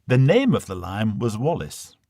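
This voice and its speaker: A man's voice: lowish voice, huge pitch swings, moderate pace, mellow, no room sound, clean studio sound. A man with a Northern English accent reading a comic dialect monologue in verse as a dramatic recital. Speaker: poetry reader.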